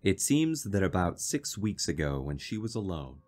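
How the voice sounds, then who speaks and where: A man playing an earnest, worried fiancé in an audio drama, speaking politely and seriously in a measured way. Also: sits low, very animated, over loud music. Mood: earnest, uneasy. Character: earnest, worried young fiancé telling his strange story. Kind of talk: audio drama acting and narration.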